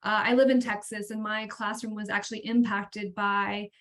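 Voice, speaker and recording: A woman's voice: medium pitch, flat delivery, moderate pace, fairly bright tone. An American woman in her thirties speaking as an educator on an online panel, earnest, informal and emphatic. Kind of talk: conversational panel talk. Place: indoors on video call.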